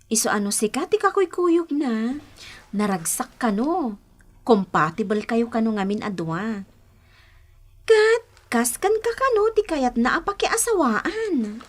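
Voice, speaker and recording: A woman's voice: fairly high pitch, very animated, unhurried. An actress playing a playful, prying young woman in a Filipino radio drama, with an Ilocano accent and a coaxing manner. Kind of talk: Philippine radio drama acting. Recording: noisy old radio drama recording.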